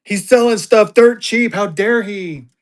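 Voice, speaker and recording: A man voicing an indignant gossiping woman, scolding in a raised, shrill tone. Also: measured pace. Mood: scandalized.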